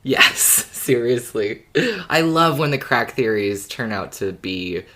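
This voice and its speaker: A woman with an extremely deep voice co-hosts a fan podcast. She talks in a casual, conversational way as she reacts to listener messages. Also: expressive, slow pace, fairly bright tone. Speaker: podcast co-host. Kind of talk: casual chat.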